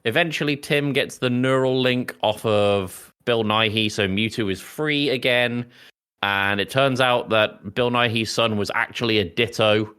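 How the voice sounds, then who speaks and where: A man's voice: mid-range voice, measured pace, sharp tone, clear, resonant tone. A man hosting a casual film chat podcast in a jokey, storytelling manner. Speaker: podcast host.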